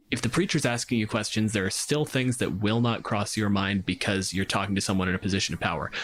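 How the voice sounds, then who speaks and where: A man on a discussion panel talking casually and analytically about a tabletop game, thinking aloud as he goes. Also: fairly low pitch.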